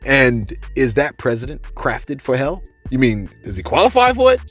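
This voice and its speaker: A man hosting a casual commentary show, reading posts aloud and answering viewers with animated, mock-angry bursts. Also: mid-range voice, moderate pace, soft background music. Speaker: commentary channel host.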